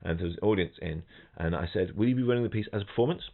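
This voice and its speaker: A man's voice: fairly low pitch, rapid-fire, creaky. A middle-aged man, a professional trombonist, chatting casually and telling anecdotes, often restarting sentences and mimicking people and rhythms. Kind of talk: casual podcast chat, anecdotes. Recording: thin phone-like audio.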